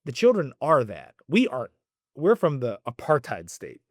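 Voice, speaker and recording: A man's voice: mid-range voice, wildly swooping pitch, normal speed, dry recording, clean studio sound. A man in his forties speaking thoughtfully and earnestly in conversation, now and then with emphasis. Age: 40s. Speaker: interviewee. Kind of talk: reflective conversation.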